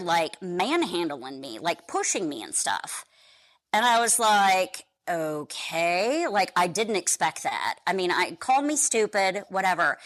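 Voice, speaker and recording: A woman foreign correspondent telling a story in an interview, lively and laughing often as she acts out what people said. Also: medium pitch. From United States.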